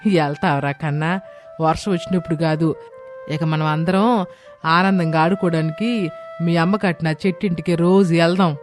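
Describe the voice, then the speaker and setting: Man as a high-voiced, affectionate older grandmother. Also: fairly even intonation, moderate pace, pauses often, soft background music, natural voice. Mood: fond. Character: emotional older mother-in-law and grandmother, humbled, affectionate woman. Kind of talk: dramatised Telugu moral story.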